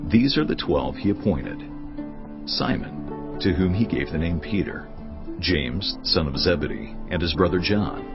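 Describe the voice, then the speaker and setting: A man narrates scripture with solemn, measured storytelling. His low voice is creaky yet moves widely in pitch. Music runs underneath. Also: animated. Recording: dull studio audio with music behind.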